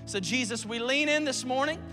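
A man in his late twenties, a pastor preaching a sermon from the pulpit in a church, energetic and playful with call-and-response to the congregation. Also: extremely high pitch, fairly quick, in a large hall, over music. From South Carolina. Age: 20s. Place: church sanctuary.

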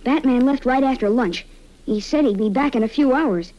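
A woman's voice: high voice, moderate pace, mellow, natural voice. A woman playing an anxious, earnest young boy sidekick in an old-time radio serial. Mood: earnest, worried. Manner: earnest, urgent. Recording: dull old radio recording.

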